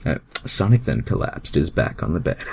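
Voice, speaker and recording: A man's voice: rumbling low, very animated, normal speed, creaky, darkish tone, a little hiss or hum. A man reading fan fiction aloud as a casual amateur narrator, stumbling over and misreading words.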